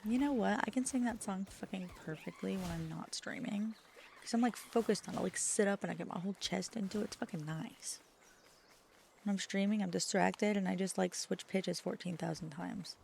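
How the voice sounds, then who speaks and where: A woman's voice: medium pitch, moderate pace, vocal fry, a little mellow, a little hiss or hum. A woman VTuber streamer chatting casually with her chat, swearing often and poking fun at herself. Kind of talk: casual livestream chat, answering chat.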